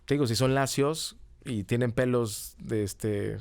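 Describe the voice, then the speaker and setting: A man's voice: medium pitch, measured pace, vocal fry, a little mellow, clear, resonant tone, dry recording, studio quality. A middle-aged man with a Mexican accent, explaining things casually in an interview and often ending phrases with a checking tag. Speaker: podcast guest, comedy teacher and writer. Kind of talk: casual conversational interview answers.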